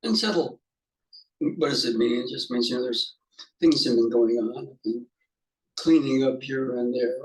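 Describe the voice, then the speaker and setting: A man leading an unscripted guided meditation as a teacher, musing haltingly in short fragments with pauses. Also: mid-range voice, fairly even intonation, poor audio.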